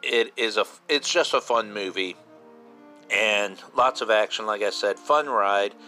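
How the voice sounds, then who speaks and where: Guy in his 50s doing a casual geeky podcast, bright forceful voice, enthusiastic, music under him. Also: on the low side, unhurried. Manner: enthusiastic fan chat, repeats himself for emphasis. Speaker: podcast host. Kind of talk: casual solo podcast talk.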